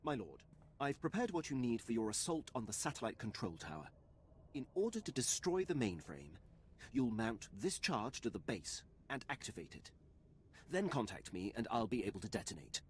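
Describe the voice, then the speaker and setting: An actor playing a stern, formal imperial lieutenant in a cinematic video game, clipped and officious. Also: male voice, medium pitch, sing-song, normal speed, a little hiss or hum, close to an everyday voice. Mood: formal, deferential.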